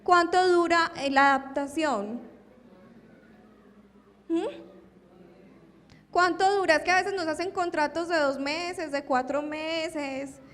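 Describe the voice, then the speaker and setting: Woman, high voice, conference lecture, rhetorical questions, long hall reverb. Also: takes it slowly, long pauses. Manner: lively, asks audience rhetorical questions. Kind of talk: academic conference talk.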